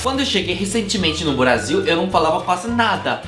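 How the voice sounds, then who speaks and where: A man's voice: high voice, big pitch swings, fairly quick. A young Korean man speaking with a Korean accent, a YouTuber giving casual, playful tips and acting out little scenes with slang. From South Korea. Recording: roomy room with background music.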